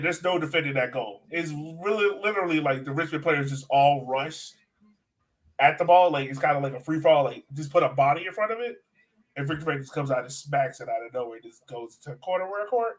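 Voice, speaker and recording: A man's voice: on the high side, animated, fairly quick, poor audio. A man co-hosting a sports podcast, talking soccer in a casual, emphatic way. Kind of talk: casual soccer analysis chat.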